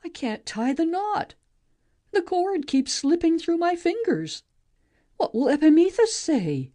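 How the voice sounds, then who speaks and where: A woman playing a curious, highly animated maiden. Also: a little slow, heavily acted. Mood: nervous. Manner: wondering, musing aloud. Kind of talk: amateur audiobook play reading, expressive.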